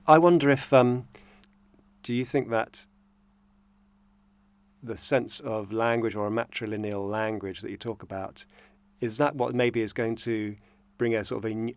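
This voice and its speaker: A man's voice: lowish voice, dry recording. A man interviewing a guest, asking long, hedged questions with fillers and quoting her writing. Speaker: interviewer, academic host.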